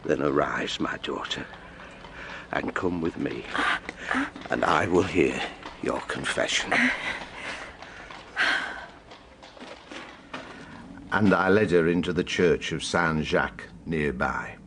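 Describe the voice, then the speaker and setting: A compassionate older male confessor in a radio drama. His low voice is measured and solemn, with formal phrasing. Faint ambience sits behind him. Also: vocal fry, fairly bright tone, a little hiss or hum, full character voice. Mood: grave, compassionate.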